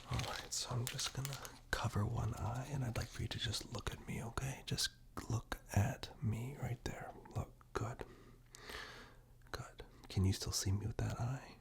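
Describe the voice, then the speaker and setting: A man performing whispered ASMR roleplay in a gentle, reassuring, unhurried manner, very close on a binaural microphone. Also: mid-range voice, vocal fry.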